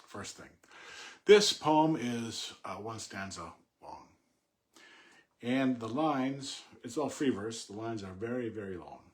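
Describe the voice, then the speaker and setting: A man reading poetry and commenting on it informally in a measured, thoughtful way. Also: mid-range voice, animated. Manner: halting, thinking aloud with self-corrections. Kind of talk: poem recitation and informal unscripted commentary.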